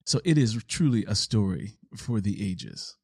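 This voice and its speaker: A man's voice: lowish voice, lively intonation, a little slow, breathy edge, dry recording, studio quality. A man speaking as a podcast host in a conversational commentary style.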